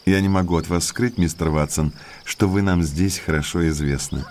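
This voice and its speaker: Low-voiced, slyly affable naturalist, played by a man. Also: fairly even intonation, normal speed, a little mellow, a little hiss or hum, lightly acted. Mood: insinuating, curious. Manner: courteous, talkative, insinuatingly polite. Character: affable, inquisitive country naturalist gentleman, thirties, slyly probing. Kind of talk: audio drama dialogue.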